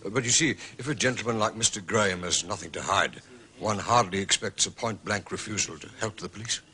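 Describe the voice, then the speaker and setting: An actor playing a proper, discreet gentleman's manservant in a radio drama, formal and courteous. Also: on the low side. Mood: puzzled. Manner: formal, courteous, measured wording.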